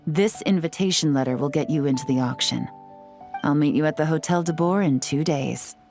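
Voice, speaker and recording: A cool, low-voiced spy. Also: female voice, faint music behind. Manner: calm, measured, confident. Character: poised, cool female spy and tea house owner. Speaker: mission leader. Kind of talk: video game dub.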